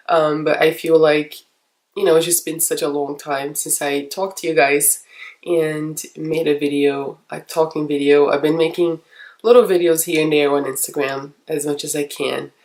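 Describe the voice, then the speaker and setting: A young woman with a stuffy, nasal voice from a cold, chatting casually to camera as a vlogger, rambling with many ums and soft laughs. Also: low voice. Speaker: makeup vlogger.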